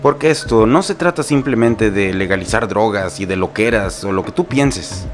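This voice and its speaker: A Mexican man with a fairly low voice tells history as a scripted, humorous narration. His delivery is irreverent and colloquial, with a sarcastic edge. Music plays faintly behind him. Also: animated, moderate pace. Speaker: host and narrator. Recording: music bed under the voice. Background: background music.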